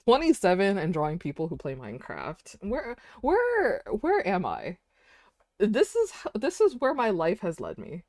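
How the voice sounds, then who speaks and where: A woman's voice: mid-range voice, wildly swooping pitch, normal speed, darkish tone, no room sound, studio quality. A woman in her twenties chatting casually while drawing on a stream, rambling, laughing often and saying "dude" and "like". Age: 20s.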